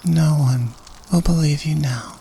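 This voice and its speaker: A man plays a student storyteller at a campfire in an audio drama. The voice is slightly high for a male, gravelly and unhurried, drawing out each ominous line over faint rain-like ambience. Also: mellow, a little hiss or hum. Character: student telling a slow, sinister campfire horror story.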